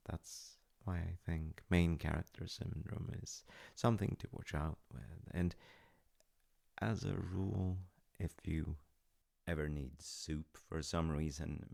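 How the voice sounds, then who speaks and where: A man playing a gentle, doting dad with dry humor in an intimate comfort audio roleplay, musing softly and haltingly in a husky murmur. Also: sits low, full character voice. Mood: wry, musing. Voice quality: gravelly, husky, often dropping to a breathy murmur. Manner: slow, soft-spoken, halting, musing. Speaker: voice actor.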